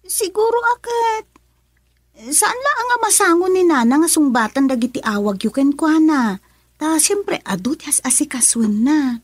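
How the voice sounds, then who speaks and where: A woman's voice: sits high, expressive, normal speed, no echo, studio quality. A woman acting a concerned daughter soothing her father in an Ilocano radio drama. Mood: reassuring.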